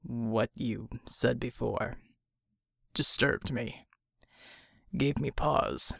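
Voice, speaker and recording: Woman voicing a slow, gravelly stoic man. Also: very deep voice, fairly even intonation, fairly bright tone, no echo, clean studio sound, pitched below the performer's own voice, exaggerated voice. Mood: grave, subdued. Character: stoic, injured tough military man.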